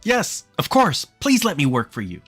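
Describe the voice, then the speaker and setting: A man's voice: fairly high pitch, huge pitch swings, moderate pace, a bit bright, husky, soft background music, pitched above the performer's own voice, exaggerated voice. A man voicing an over-eager young male student, the job-hungry hero of a visual novel.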